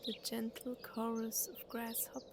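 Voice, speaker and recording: A woman narrating a guided meditation and story in an unhurried, soothing way, with long pauses between phrases. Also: on the high side, completely flat delivery, background noise.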